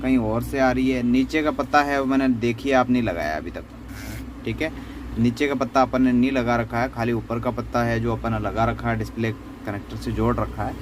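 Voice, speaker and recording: A man's voice: mid-range voice, steady tone, a little fast, a little hiss or hum. A man gives an unscripted, step-by-step repair demonstration in a conversational, explanatory way, often repeating himself. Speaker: phone repair tutorial host.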